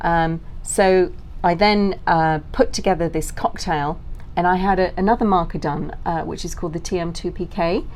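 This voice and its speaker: A woman's voice: fairly low pitch, steady tone, normal speed, darkish tone, slight background noise. A middle-aged British woman talking as an interview guest, explaining things informally in long, self-interrupting sentences.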